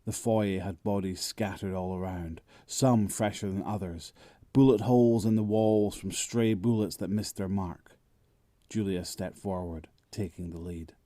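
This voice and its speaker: A man's voice: low voice, fairly even intonation, unhurried, warm tone, no echo. A man narrating a scripted dramatic story.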